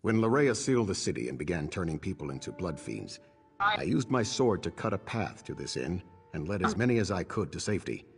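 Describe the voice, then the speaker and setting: A man's voice: low-pitched, big pitch swings, a little fast, creaky, full character voice. An actor playing a stern, weary swordsman who protects survivors, speaking formally and resolutely in a fantasy video game. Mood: solemn. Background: background music.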